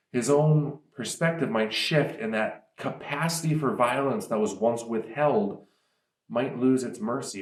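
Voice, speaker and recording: A man giving casual author commentary on his fantasy world, explaining ideas with frequent ums. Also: fairly low pitch. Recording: roomy echo.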